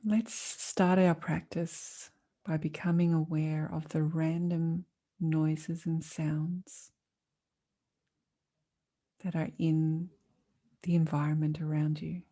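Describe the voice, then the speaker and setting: Slow, low-voiced woman guiding meditation. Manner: calm, soothing, measured delivery with long pauses. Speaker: meditation teacher. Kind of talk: guided meditation.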